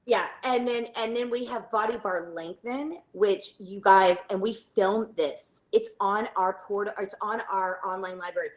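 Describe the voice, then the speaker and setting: Chatty, halting middle-aged woman. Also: mid-range voice, low-quality recording. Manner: chatty, halting, trails into tangents. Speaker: fitness studio owner, interview guest. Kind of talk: casual conversational interview.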